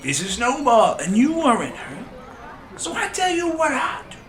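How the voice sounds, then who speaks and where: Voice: sits high, huge pitch swings, moderate pace, a bit bright, soft background music, exaggerated voice. A performer playing a cheerful, placating town constable in a radio drama.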